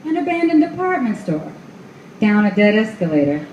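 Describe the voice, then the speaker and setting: A woman acts as a stark noir storyteller in a radio play. She speaks at a middling pitch in clipped, dramatic phrases, recorded in an echoey room with clear ambient noise. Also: big pitch swings, normal speed, muffled recording, lightly acted. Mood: urgent. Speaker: narrator.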